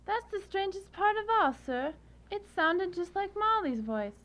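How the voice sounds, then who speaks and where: A woman's voice: very high-pitched, big pitch swings, measured pace, fairly bright tone, heavily acted. A woman playing a timid, frightened, deferential housekeeper in a student radio drama. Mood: frightened, hushed.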